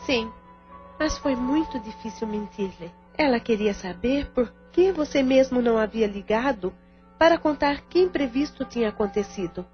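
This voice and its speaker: A Brazilian actress playing a caring, devout aunt and supportive sister in an audio drama. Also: female voice, a little high, expressive, normal speed, close to an everyday voice. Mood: earnest, concerned. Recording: dull lo-fi audio under music.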